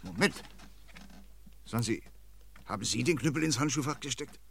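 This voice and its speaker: A man playing a shrewd, dryly ironic police commissioner in an old radio crime drama, talking in a measured, lecturing way. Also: mid-range voice, animated, gravelly, slight background noise. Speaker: police inspector.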